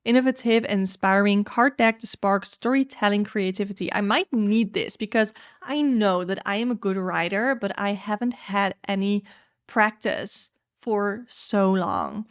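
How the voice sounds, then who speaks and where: A young woman whose first language is Dutch talks through new tarot decks in a frank, chatty vlog manner. Her voice sits at a middling pitch and is recorded close and dry, with no room sound. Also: animated, unhurried, clean tone, no room sound. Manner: candid, chatty, frank opinions. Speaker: tarot YouTuber. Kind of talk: casual deck review.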